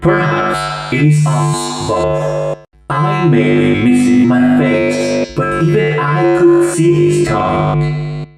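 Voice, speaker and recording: A woman's voice: sits low, huge pitch swings, slow, gravelly, echoey room, over loud music, exaggerated voice, poor audio. A woman voicing a faceless, brooding, philosophical animatronic in a video game, musing and cryptic. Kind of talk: video game character acting.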